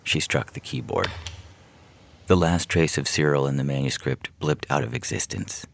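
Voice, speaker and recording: A man's voice: a bass voice, very animated, moderate pace, creaky, slight background noise. A man reading scripted fiction aloud in a dramatic narration style, as a podcast story reader. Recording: music bed behind the voice. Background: music.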